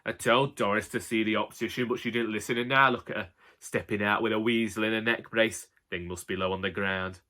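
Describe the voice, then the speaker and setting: A man's voice: fairly low pitch, fairly even intonation, brisk, sharp tone. A man voicing a snooty, disapproving elderly woman with a clipped, cutting manner in a comic audiobook. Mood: cold disdain. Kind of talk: character dialogue in comic audiobook.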